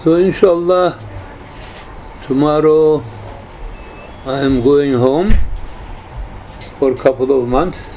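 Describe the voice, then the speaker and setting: Man in his nineties, slow halting speech, mellow tone, religious teacher, emphatic repetition, informal spiritual talk. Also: on the high side. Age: 90s. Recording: dull lo-fi recording, faint background noise.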